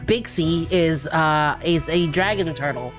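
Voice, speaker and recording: A woman's voice: sits low, a little slow, soft background music. A woman from Brunei chatting casually as a podcast guest. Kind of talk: casual discussion.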